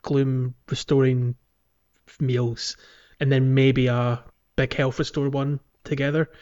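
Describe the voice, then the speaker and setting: A British man with a mid-pitched voice talks casually as a podcast host. His delivery rambles and hesitates, and the recording is a little dull and lo-fi. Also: flat delivery, slow, darkish tone. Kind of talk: casual game discussion and chat. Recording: slightly dull, lo-fi audio.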